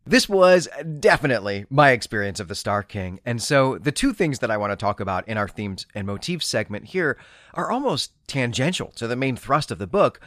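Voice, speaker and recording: A man's voice: mid-range voice, fairly bright tone, no room sound, studio quality. A man hosting a podcast, explaining and discussing books in an articulate, scholarly way. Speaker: podcast host. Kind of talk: literary discussion and book recap.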